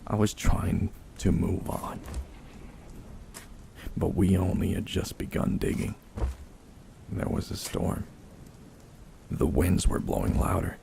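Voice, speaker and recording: A man's voice: low-pitched, creaky, noisy background. A man narrating a first-person horror story in a somber, dramatic manner. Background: slow dark music.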